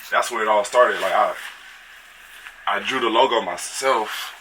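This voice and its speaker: A young American man with a fairly low voice talks casually and without a script. His delivery is laid-back and full of slang. There is noticeable noise and clicking behind him. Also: unhurried, bright, forceful tone. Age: young adult. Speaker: athlete and clothing brand founder. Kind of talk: casual unscripted chat. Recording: clearly noisy background with clicks.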